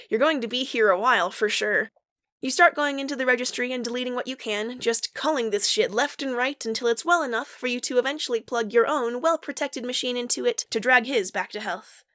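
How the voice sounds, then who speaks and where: Female narrator for audio fan fiction, fairly high voice, scripted storytelling. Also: a little fast, bright, forceful tone.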